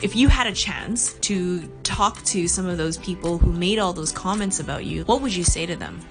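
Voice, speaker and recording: A woman's voice: on the low side, moderate pace, over music. A woman hosting an interview show, asking questions with many "you know" fillers. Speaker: host.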